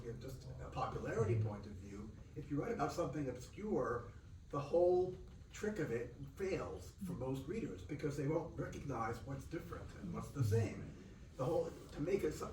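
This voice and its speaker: A man making informal, unscripted remarks from the audience at a book event, explaining things in a pedantic, digressive way. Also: medium pitch. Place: indoor event room. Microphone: distant room microphone. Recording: echoey, noisy room from far off.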